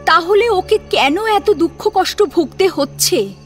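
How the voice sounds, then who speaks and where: Female audio-story narrator: high pitch, lively emotive delivery, music bed. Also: slow pace. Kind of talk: expressive dramatic audiobook narration.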